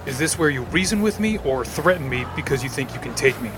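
A man's voice: mid-range voice, lively intonation, quick pace, over music, lightly acted. A man playing a cool, sardonic male supervillain in an audio drama, with a dry, joking delivery. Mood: wary. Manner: dry, wisecracking.

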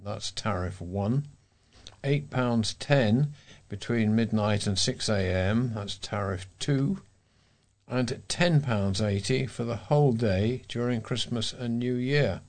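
A man's voice: medium pitch, slow pace. A British man reading local news items aloud as a volunteer newsreader.

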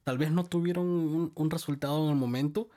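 A man from El Salvador who directs a music school, relaxed and rambling as he tells his life story in an interview. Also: on the high side, brisk. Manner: relaxed, rambling, many restarts.

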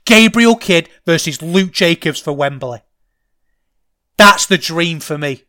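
A middle-aged man with a Manchester accent, hosting a casual solo podcast, rambling and getting loud when excited. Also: on the high side, slow. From England. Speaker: podcast host.

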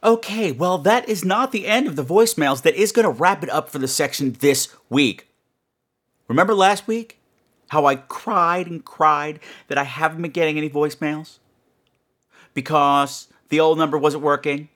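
A man's voice: fairly high pitch, normal speed, a bit bright. A man hosting a podcast in a casual, chatty way, playful and exclamatory, often repeating phrases for effect. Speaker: podcast host.

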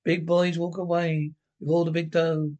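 A snarling, defensive little ex-jockey, played by a man in a one-man reading. He is bitter and boastful, and his voice sits fairly high. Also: flat delivery, moderate pace, a little mellow, close to an everyday voice. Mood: bitter sneering.

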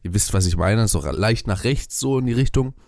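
A man's voice: on the low side, lively intonation, fairly quick, a little mellow, no echo, clean studio sound. Rambling man in casual chat. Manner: rambling, hesitant with many fillers. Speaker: podcast co-host. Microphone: webcam and desk mic at home.

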